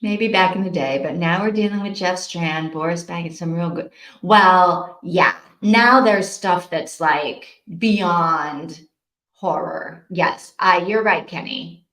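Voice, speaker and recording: A woman's voice: fairly low pitch, slow pace, a bit bright. A woman hosting a casual live stream, chatting informally and responding to viewers as she goes. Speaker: host of a live reading-sprint stream. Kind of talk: casual chat, reading out and answering viewer comments. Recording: roomy, echoing sound.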